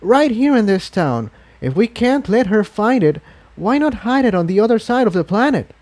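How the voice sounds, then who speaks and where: A man's voice: sits high, big pitch swings, normal speed, darkish tone, dry recording, pitched above the performer's own voice. A man voicing an anxious, questioning young male dragon with a thin, strained voice in a story reading. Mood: doubtful, protesting.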